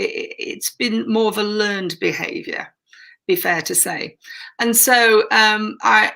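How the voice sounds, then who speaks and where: Woman, gravelly voice, bright tone, rambling with fillers, casual faith talk, church room. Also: medium pitch. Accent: non-Yorkshire. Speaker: ministry leader and co-host. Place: converted church tower room.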